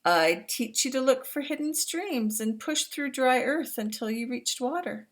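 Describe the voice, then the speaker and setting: A woman reading the lines of a loving father who gently reassures his son, from a picture book. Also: high-pitched, animated, measured pace, pitched above the performer's own voice. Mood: tender. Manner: tender, reassuring promises. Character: loving Maasai father, steady and protective. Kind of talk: read-aloud picture book.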